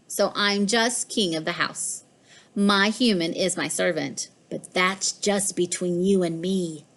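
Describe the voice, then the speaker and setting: Woman as boastful house cat, mischievous, children's storybook, slow emphatic delivery, medium pitch. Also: a bit bright. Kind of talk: storybook read-aloud.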